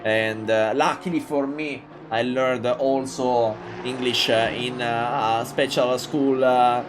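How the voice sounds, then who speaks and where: A man from Italy with an Italian accent, calling a live sim race in halting English with many fillers and excited jumps. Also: medium pitch, background noise. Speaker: race commentator. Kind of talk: live sim racing commentary.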